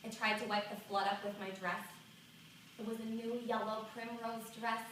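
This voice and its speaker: A woman performing a theatrical monologue as a reticent, exasperated seventy-two-year-old woman, halting and colloquial as she reminisces. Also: mid-range voice, a bit bright, natural voice. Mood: embarrassed, regretful. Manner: halting, dramatic, colloquial, self-interrupting. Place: small indoor theatre stage. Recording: echoey theatre, far from the mic, faint noise.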